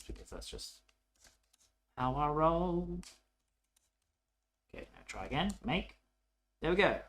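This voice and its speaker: A man narrating a coding tutorial casually, thinking aloud in an informal, halting way as he works. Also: mid-range voice, a little hiss or hum. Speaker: programming tutorial presenter.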